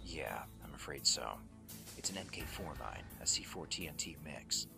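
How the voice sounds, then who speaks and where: An actor playing a gruff, world-weary special-forces soldier with a gravelly voice and a terse, sardonic deadpan in a radio drama. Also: male voice, extremely low pitch, moderate pace, music in the background, exaggerated voice. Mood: grim, controlled. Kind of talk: dubbed video game radio drama acting.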